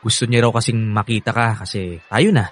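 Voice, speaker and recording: A man's voice: fairly low pitch, fairly even intonation, fairly quick, lightly acted. An actor playing an affectionate, eager young man with a Filipino accent in a radio drama, chatty and earnest. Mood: fond, playful. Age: young adult. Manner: earnest, chatty, laughs often.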